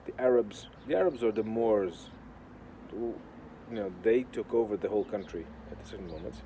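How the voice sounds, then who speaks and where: Mellow low-voiced Portuguese man, sardonic, noisy background. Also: normal speed. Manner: sardonic, deadpan, sweary. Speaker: video host. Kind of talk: casual rambling talk to camera. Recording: clear outdoor urban construction noise. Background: noise of building works.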